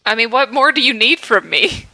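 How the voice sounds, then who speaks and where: A woman's voice: fairly high pitch, expressive, moderate pace, pushed and bright, no echo, rough recording. A woman co-hosting a podcast, chatting in a wry, laid-back way. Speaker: podcast co-host. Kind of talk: casual comedic chat.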